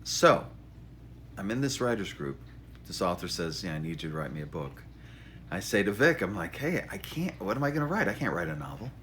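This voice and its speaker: Low-voiced man with animated, swinging pitch. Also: a little fast, a little hiss or hum. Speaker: author introducing his memoir. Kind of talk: informal spoken monologue, storytelling.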